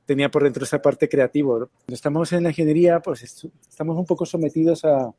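Relaxed man telling his story. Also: fairly high pitch, quick pace, dry recording. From Colombia. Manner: relaxed, storytelling, swears casually. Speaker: guest, web designer. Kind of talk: casual interview.

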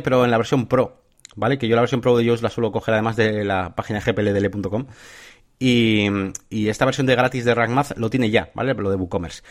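A man talks through a list of points as a podcast co-host. He keeps checking in with his listeners and fills pauses with "eh". His voice sits at a middling pitch. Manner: enthusiastic, listy, frequent "eh" and "¿vale?". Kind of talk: casual tech podcast chat.

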